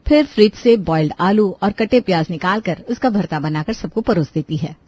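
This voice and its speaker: A woman storyteller reading scripted narration for an animated family story. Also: medium pitch, moderate pace, slight background noise.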